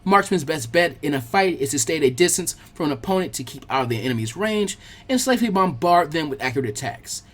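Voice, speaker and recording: A man's voice: fairly high pitch, expressive, quick pace, fairly bright tone. A man reading a scripted story aloud as its narrator.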